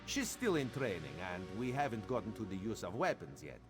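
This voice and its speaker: A man's voice: on the low side, wildly swooping pitch, brisk. An actor voicing a vain, playful cat-man agent in a video game, speaking theatrically and with self-assurance. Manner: theatrical, self-assured, refers to himself by name. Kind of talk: video game character dialogue. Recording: music behind the voice.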